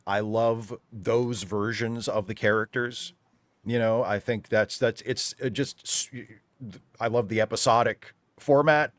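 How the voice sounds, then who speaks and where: A man's voice: fairly low pitch, fairly even intonation, a little slow, no room sound. A man chatting casually and giving his opinions emphatically, with exasperated rhetorical asides. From United States. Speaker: panelist on a fan talk show.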